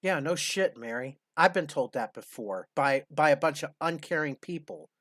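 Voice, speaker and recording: A man's voice: on the high side, normal speed, fairly bright tone, dry recording, studio quality. A man in his fifties hosting a casual commentary, blunt, sarcastic and profane. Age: 50s. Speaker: host.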